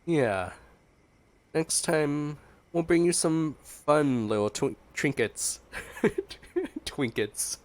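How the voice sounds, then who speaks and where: A man's voice: a little high, big pitch swings, very slow, long pauses. A man giving casual, chatty, playful reaction commentary as a gaming streamer. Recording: game music behind the voice. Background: game music.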